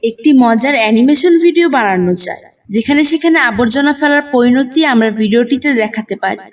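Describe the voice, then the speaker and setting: A woman voicing an earnest, sensible young schoolgirl in a cartoon, speaking in a measured, instructive way. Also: on the high side, animated, recorded from a distance. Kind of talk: cartoon dialogue.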